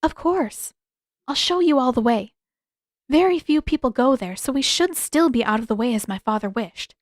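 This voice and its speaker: A woman's voice: high-pitched, expressive, moderate pace, dry recording, clean studio sound, voice pushed up. A woman voicing a thoughtful, courteous teenage princess who speaks carefully and hesitantly in a story reading. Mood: polite, apologetic. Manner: careful, hesitant, polite phrasing.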